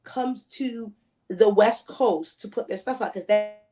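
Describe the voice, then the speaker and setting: An American woman who grew up in Thailand, hosting a casual podcast debate, animated and quick to cut in. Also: mid-range voice, over music. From United States, raised in Thailand. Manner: animated, interjects often.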